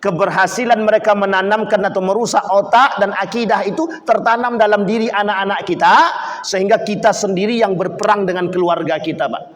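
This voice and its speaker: A man's voice: high-pitched, steady tone, a little fast. A man preaching a sermon to a congregation. He acts out quoted voices and keeps putting questions to his listeners. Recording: long reverb of a hall.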